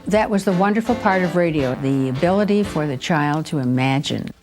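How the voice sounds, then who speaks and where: A woman's voice: sits low, fairly quick, faint music behind. An older woman, a former radio performer, reminiscing playfully in an interview. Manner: animated, playful, sings and imitates.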